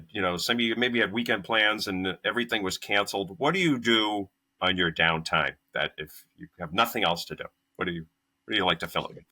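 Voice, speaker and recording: Male podcast host, lowish voice with a bright edge, rambling and filler-heavy. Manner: rambling questions with many fillers. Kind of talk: casual interview hosting.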